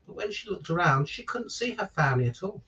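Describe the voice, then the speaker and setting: Animated man reading a children's story, distant mic. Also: high voice, fast, dull audio. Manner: chatty asides and questions to listeners. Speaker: stand-in children's story reader. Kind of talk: children's picture book read-aloud. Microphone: virtual call, away from the microphone.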